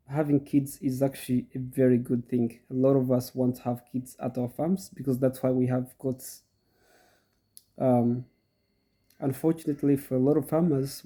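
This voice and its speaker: Man, middle-aged, goat farmer with a cold, husky, chatty how-to talk, repeats himself. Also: medium pitch, warm tone. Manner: chatty, repeats himself, addresses viewers directly. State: congested from a cold. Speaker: goat farmer and channel host. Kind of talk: casual instructional talk to viewers.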